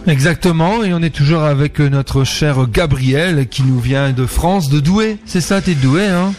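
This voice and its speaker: A man's voice: a little high, darkish tone, soft background music. A man hosting a radio show, chatting casually and joking with his guest. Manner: joking, chatty, quick to agree. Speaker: radio host.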